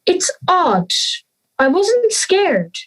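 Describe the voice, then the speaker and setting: A young woman plays an earnest schoolgirl in an audio drama. Her voice is high and very melodic, moving slowly through her lines with an anxious air. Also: wildly swooping pitch, heavily acted, low-quality recording. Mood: bemused, apologetic.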